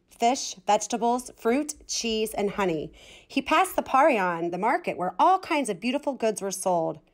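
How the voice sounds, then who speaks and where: A woman reading a children's storybook aloud in an expressive style. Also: medium pitch. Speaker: storybook reader.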